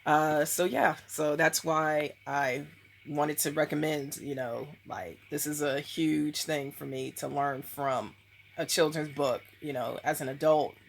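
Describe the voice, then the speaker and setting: A woman's voice: deep voice, fairly even intonation, measured pace, gravelly, a little hiss or hum. A woman in her thirties talking casually on camera at home, rambling with lots of ums and likes. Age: 30s. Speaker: vlogger. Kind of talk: casual book review vlog.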